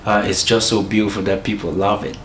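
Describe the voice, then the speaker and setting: Cameroonian-accented pastor, low and roomy. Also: male voice, little pitch movement, a little fast, a bit bright. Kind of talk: documentary interview. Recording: roomy with faint ambient noise.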